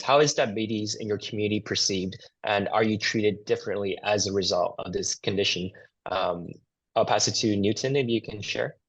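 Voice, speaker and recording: Slightly husky, low-voiced man interviewing. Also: no echo, poor audio. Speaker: podcast co-host. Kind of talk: conversational interviewing.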